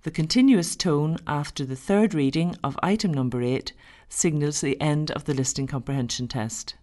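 A woman reading formal listening-test instructions in a measured, scripted way. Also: deep voice, warm tone. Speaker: exam narrator.

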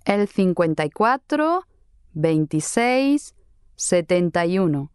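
A friendly young woman in a learner dialogue. Her voice is smooth and crisp, and she speaks slowly and carefully. The recording is dry and close. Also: fairly low pitch, sing-song, a little mellow, no room sound. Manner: clear, careful friendly delivery. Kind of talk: slow scripted dialogue for learners.

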